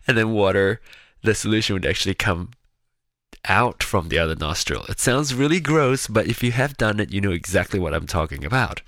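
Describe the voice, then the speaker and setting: A man chatting casually in a rambling personal monologue, sounding nasal and congested, with a slightly raspy, gravelly voice. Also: fairly low pitch, fairly bright tone, no echo. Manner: rambling, chatty, with frequent restarts. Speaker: vlogger.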